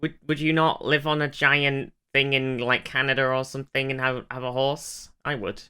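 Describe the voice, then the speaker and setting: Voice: mid-range voice, level tone, moderate pace, sharp tone, smooth. A podcast co-host casually recapping a TV episode in an irreverent, slangy way.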